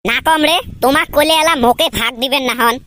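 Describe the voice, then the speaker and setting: A man voicing a cheeky, grumbling village lad in a comedy cartoon, teasing in a northern Bengali Rangpur accent through a pitched-up filter. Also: quick pace, pushed and bright, faint music behind. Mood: boastful banter. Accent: northern Bengali (Rangpur region). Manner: teasing, colloquial banter.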